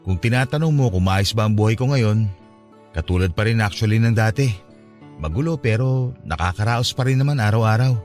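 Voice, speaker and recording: A Filipino man playing a gentle, resigned young ex-boyfriend in a radio romance drama, subdued and plain-spoken. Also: lowish voice, animated, fairly quick, faint music behind, natural voice. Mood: resigned, bitter.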